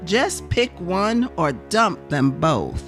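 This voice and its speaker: A woman's voice: fairly low pitch, sing-song, slow pace, a bit bright, soft background music, exaggerated voice. A woman as a patronizing veteran entertainer. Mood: dismissive. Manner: patronizing, laughing it off. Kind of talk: radio drama acting.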